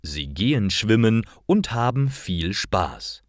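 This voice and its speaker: A man reading simple stories for language learners as a scripted narration, in careful, clearly separated short phrases. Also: on the low side, very animated, takes it slowly, dry recording, studio quality.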